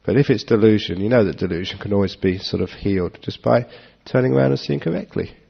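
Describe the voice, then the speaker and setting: A low, gravelly man gives an easygoing Dharma talk, breaking into jokes and chuckles as he answers the audience. The recording sounds dull and lo-fi. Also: fast talker. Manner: relaxed, joking, chuckles often. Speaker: monk giving a Dharma talk.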